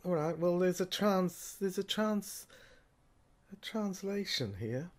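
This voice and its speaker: Woman playing a slow, halting archive researcher. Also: lowish voice, lively intonation. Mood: puzzled, halting. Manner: halting, repeats phrases, reads fragments out. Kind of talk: amateur serial audio drama acting, halting read-aloud dialogue.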